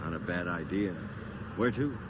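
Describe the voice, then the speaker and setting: A man's voice: low voice, expressive, moderate pace. An actor playing a frightened, insistent man urging his friend on in a radio horror drama, speaking with emphatic urgency. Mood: quiet, wry. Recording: noisy, muffled old radio drama recording.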